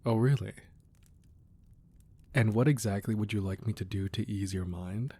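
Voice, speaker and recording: A low, mellow-voiced crime boss acted by a man, husky and intimate. He is a protective, devoted husband murmuring softly to his wife in a romantic ASMR roleplay. The tone is gruff at the edges but affectionate. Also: moderate pace. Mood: reassuring, reluctant.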